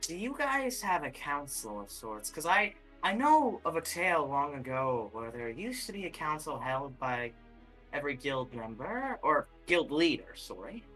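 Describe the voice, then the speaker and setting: Roleplay character voice, measured and cryptic, forceful tone, faint music underneath. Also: medium pitch, very animated, rough recording. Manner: measured, cryptic, keeps saying anyways. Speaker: roleplay player.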